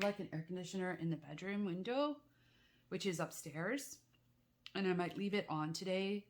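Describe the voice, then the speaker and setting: A woman chatting casually at home in a meandering, confiding way, her voice rough and congested from a cold. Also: fairly low pitch, darkish tone. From Canada. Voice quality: rough, croaky. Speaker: craft vlogger. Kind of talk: casual chat while crafting. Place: at home with the back door open.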